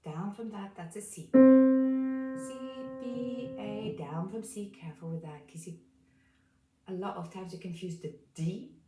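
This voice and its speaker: A woman with a low voice giving a casual piano lesson, explaining calmly while playing notes, recorded from a distance in a room with a piano. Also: measured pace, reverberant. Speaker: piano teacher.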